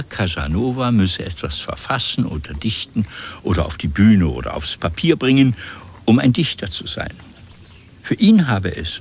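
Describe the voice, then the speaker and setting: An actor playing a wry literary storyteller, narrating a radio play in with a husky, deliberate, expressive delivery. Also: male voice, fairly low pitch, full character voice.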